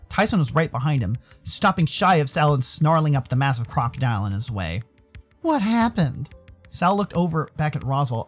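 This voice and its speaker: An American man from Arizona giving theatrical, expressive narration of a visual novel as a storyteller. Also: mid-range voice. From Arizona, United States. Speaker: narrator and streamer.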